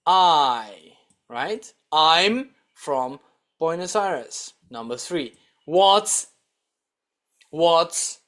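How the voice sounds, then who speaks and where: Man, English teacher, somewhat high pitch, slow delivery, deliberate instructional manner, repeated example sentences. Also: fairly bright tone. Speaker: English teacher, online lesson host. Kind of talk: scripted grammar lesson, read-and-repeat teaching.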